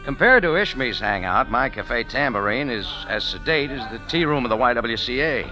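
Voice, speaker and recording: A man's voice: mid-range voice, lively intonation, normal speed, close to an everyday voice. A hardboiled American man played by a radio actor, world-weary and sardonic. His mid-range voice moves animatedly through clipped vintage drama lines, with music underneath. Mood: dry narration. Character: wisecracking, tough, world-weary cafe-owner adventurer hero. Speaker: lead actor and narrator. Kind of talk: 1940s-50s hardboiled radio drama narration and acting.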